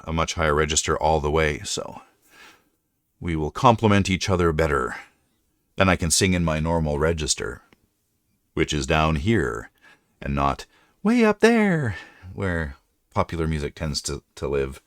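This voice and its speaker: A middle-aged man talks with an extremely low, deep voice, rambling and often correcting himself mid-sentence. It is a relaxed chat with his live viewers. The sound is dry and close. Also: normal speed, no room sound. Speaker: livestream host. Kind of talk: casual livestream chat.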